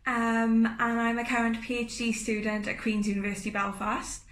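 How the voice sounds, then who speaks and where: A young woman with a South Welsh accent introducing herself in a bright, chatty, friendly way. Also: a little high, monotone, normal speed, reverberant, slight background noise. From South Wales. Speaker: PhD student. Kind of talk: casual video introduction.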